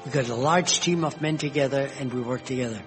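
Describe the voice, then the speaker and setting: An older man, a retired missionary, slowly recalling his life's work in a gentle storytelling manner with frequent pauses. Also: medium pitch, music in the background. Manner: slow, gentle storytelling with fillers. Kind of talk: reminiscing personal history.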